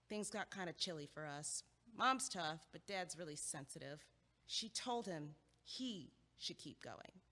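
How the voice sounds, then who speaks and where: A woman playing a sharp, guarded, quick-witted young college student in a naturalistic comedic audio play. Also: medium pitch. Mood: wry storytelling. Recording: echoey hallway-like reverb.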